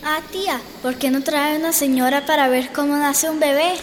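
A woman's voice: sits high, fairly even intonation, normal speed, a little hiss or hum, natural voice. A woman acting in a radio drama as a curious, eager young village girl with a sweet, inquisitive way of talking.

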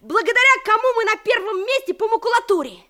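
An actress playing an impulsive, hot-tempered schoolboy in a children's radio play, blurting and insistent. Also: female voice, very high voice, lively intonation, fast, pushed and bright, exaggerated voice. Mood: indignant, excited.

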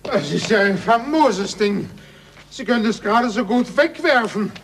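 An actor playing an offended, pompous official, huffy and disgusted, in 1940s German radio play style. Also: male voice, high voice, animated, normal speed, noisy background. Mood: indignant, disgusted.

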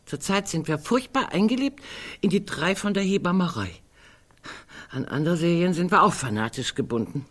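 An actress in a radio play voicing a morbid, sardonic woman who muses bluntly among friends, sometimes dropping to hushed tones. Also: lowish voice, expressive, normal speed. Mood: gossipy, relishing.

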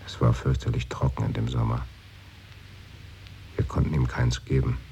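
A man's voice: rumbling low, level tone, moderate pace, vocal fry, dark tone, dull audio, slight background noise. An actor in a radio play, playing a brooding man who muses aloud and often sinks into a whisper. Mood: wistful. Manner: musing, often drops to a whisper. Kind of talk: 1980s radio play acting.

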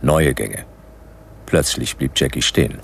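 Laconic noir detective, extremely low pitch, gravelly, sardonic, radio play, slight background noise. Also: male voice, measured pace, full character voice. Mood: laconic narrating. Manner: dry, terse, sardonic. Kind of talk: noir first-person narration and radio play dialogue.

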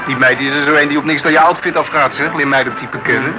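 A cheeky, chatty man played by an actor in a radio play, with a slightly high voice. He talks breezily and teases, with loud music behind him. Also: steady tone, fast, close to an everyday voice, rough recording. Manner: breezy, needling, colloquial. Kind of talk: naturalistic Dutch radio drama acting.

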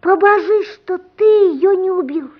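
Anxious high-voiced little boy. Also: unhurried. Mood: anxious, insistent. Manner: pleading, insistent, emphatic. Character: anxious, earnest small boy, pressing a grown-up. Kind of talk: radio play acting. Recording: muffled radio play recording.

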